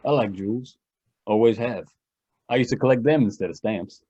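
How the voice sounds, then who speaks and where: A man's voice: lowish voice, moderate pace, dark tone, slightly rough, muffled recording. A man playing a blasé, boastful young heir who speaks in an understated, matter-of-fact way in a radio drama. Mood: smug, blasé. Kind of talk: old-time radio drama acting.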